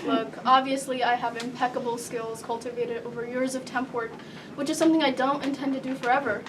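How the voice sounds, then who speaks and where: A woman's voice: sits high, steady tone, brisk, lightly acted. A woman performing a stage monologue as a nervous, rambling thirty-year-old job applicant who keeps correcting herself. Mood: flustered, defensive. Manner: rambling, nervous, self-correcting with awkward laughter. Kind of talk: live theatrical comic monologue. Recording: distant stage mic with background noise.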